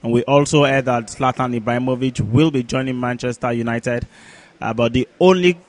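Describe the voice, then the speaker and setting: A man co-hosting a sports talk show, running through scores and news in a halting way with repeated words. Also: medium pitch. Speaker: co-host, sports pundit.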